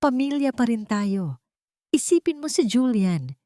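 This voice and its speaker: A woman's voice: fairly high pitch, big pitch swings, measured pace, mellow, dry recording, studio quality, pitched above the performer's own voice. A woman voicing a scheming, cruel older mother-in-law in an audio drama story. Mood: pleading.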